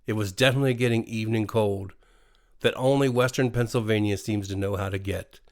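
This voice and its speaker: A man with an American accent reading a scripted bedtime horror story in an expressive, dramatic way. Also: on the low side, a little fast. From USA. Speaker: storyteller, narrator. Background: faint music.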